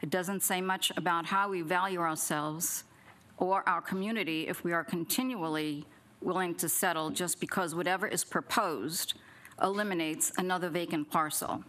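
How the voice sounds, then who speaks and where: Middle-aged woman at the podium mic, kinda low voice, firing off pointed questions at council. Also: normal speed, fairly bright tone. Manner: questioning, pointed, reads quotes from notes. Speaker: resident speaking at public comment. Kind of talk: public comment, part read, part spoken. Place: city council chamber at a podium. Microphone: podium gooseneck mic.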